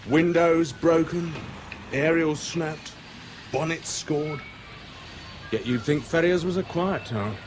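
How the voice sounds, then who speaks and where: A man's voice: fairly high pitch, slow, long pauses, slight background noise. Matter-of-fact British garage man. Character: chatty, matter-of-fact male garage mechanic. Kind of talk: naturalistic radio drama acting.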